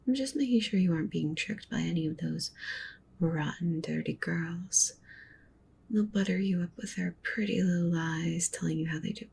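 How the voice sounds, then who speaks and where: A dominant, doting wolf witch girlfriend played by a woman murmurs possessively in an intimate ASMR roleplay. Her voice is fairly low and breathy, slipping into whispers with a crackly fry. She coaxes with pet names and soft commands over faint background noise. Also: moderate pace.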